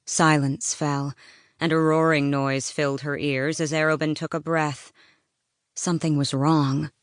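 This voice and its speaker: A woman's voice: low-pitched, level tone, measured pace, dry recording, clean studio sound. A woman narrating an audiobook, reading scripted fiction in a dramatic storytelling style.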